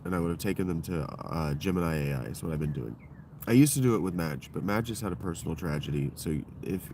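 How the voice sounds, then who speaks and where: A man with a deep voice hosting his own livestream, talking in a loose, digressive and profane monologue that keeps circling back on itself. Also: noisy background. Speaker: livestream host. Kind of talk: rambling solo livestream monologue.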